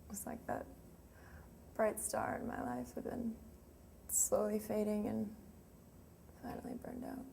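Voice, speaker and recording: A woman's voice: mid-range voice, expressive, normal speed, mellow. An actress playing a grieving young woman remembering her lost sweetheart in a hesitant, emotional, confessional monologue. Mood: grief-stricken. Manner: hesitant, emotional, with trailing phrases. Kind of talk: dramatic monologue, confessional and conversational. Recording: clearly noisy room ambience.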